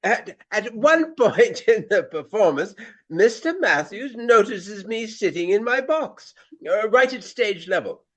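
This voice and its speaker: Flamboyant Regency actor, high swooping voice, vain, jovial, courtly, radio drama. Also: male voice, animated, measured pace, a bit bright, heavily acted. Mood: gleeful, self-satisfied. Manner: theatrical, effusive, old-fashioned phrasing.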